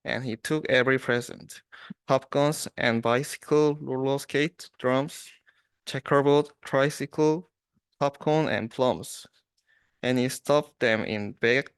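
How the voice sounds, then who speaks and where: Slow, clear young man reading aloud. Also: medium pitch, dry recording. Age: young adult. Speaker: student reader. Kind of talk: storybook read-aloud.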